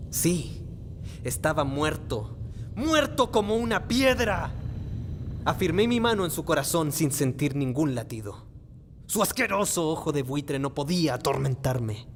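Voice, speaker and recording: A man playing a nervous, obsessive madman confessing a murder in a theatrical, deliberate radio play monologue. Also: a little high, a little hiss or hum. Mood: manic triumph. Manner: theatrical, deliberate, halting phrasing. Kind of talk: dramatic radio play monologue, gothic horror narration.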